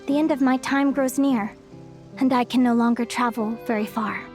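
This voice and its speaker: A woman playing a gentle, sensitive Fae adventurer in a video game, speaking softly, earnestly and with some hesitation. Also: on the high side, fairly even intonation, normal speed, music in the background, lightly acted. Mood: wistful, solemn. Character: gentle, sensitive female Fae adventurer reflecting on her life. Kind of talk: dramatic video game voice acting.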